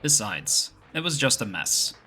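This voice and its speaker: A man's voice: mid-range voice, sing-song, a little slow, bright, forceful tone, slightly rough, soft background music, full character voice. A man acting in an audio roleplay as an easygoing, good-humored young second-in-command of a clan, speaking in a playful, conversational way. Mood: amused, reminiscing.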